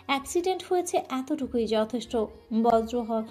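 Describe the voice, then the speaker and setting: Mellow-voiced woman narrating a dramatic story. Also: on the high side, expressive, moderate pace, soft background music. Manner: emotive, dramatic reading with occasional restarts.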